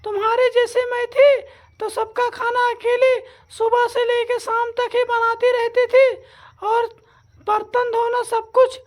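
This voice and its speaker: A woman's voice: very high voice, flat delivery, a little slow. Woman playing a bossy scolding mother-in-law. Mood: nagging. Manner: nagging, insulting, commanding. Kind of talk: comic family sketch acting. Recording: loud backing music.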